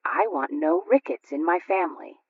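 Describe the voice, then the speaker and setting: A woman voicing a forceful, opinionated mother in a radio sketch, emphatic and self-assured. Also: fairly low pitch, wildly swooping pitch, normal speed, vocal fry, breathy edge, no echo, heavily acted. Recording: dull lo-fi radio recording.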